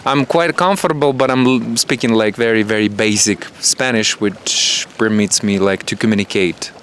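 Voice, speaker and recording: A man whose first language is Russian and Ukrainian, a guest chatting animatedly in English and sometimes jumping up in pitch. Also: moderate pace, a little hiss or hum. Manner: animated, acts out voices and jumps up in pitch. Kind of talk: casual conversation.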